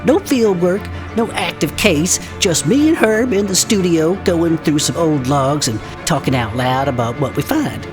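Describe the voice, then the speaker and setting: Low-voiced, wry cryptid investigator. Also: female voice, animated, measured pace, few pauses, music in the background. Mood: announcing. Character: laid-back, teasing cryptid investigator co-hosting a club show. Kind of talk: comedic audio fiction banter.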